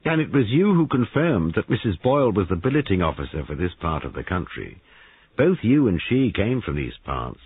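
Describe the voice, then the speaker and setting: A man voicing a brisk, self-satisfied young man posing as a policeman in an audiobook. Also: big pitch swings, breathy edge, heavily acted. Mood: accusing. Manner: brisk, confident, lifting pitch. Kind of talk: audiobook character voice. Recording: narrow phone-like sound, dry.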